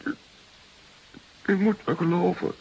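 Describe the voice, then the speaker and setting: An actor playing a desperate, defensive young murder suspect of about twenty, nervy and halting under interrogation in a crime drama. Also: male voice, high voice, steady tone, darkish tone, dull audio, exaggerated voice. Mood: pleading, distraught. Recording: clearly hissy background noise.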